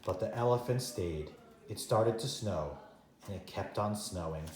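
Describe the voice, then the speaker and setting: Animated man reading a storybook, roomy sound. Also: lowish voice. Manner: expressive, dramatic pauses and repetition for young children. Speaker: teacher reading a children's story. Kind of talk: animated read-aloud storytime narration.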